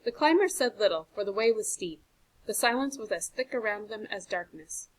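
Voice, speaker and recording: A woman teacher reading a chapter book aloud to a class, with clear, expressive narration. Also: mid-range voice, normal speed, low-quality recording. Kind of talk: storybook read-aloud for a class.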